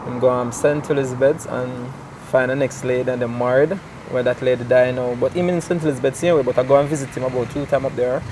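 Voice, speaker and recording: A Jamaican man in his thirties, a talk show guest, speaking haltingly with fillers and restarts. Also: medium pitch, fairly even intonation. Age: 30s. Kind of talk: emotional talk-show conversation. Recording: distant mic with faint noise.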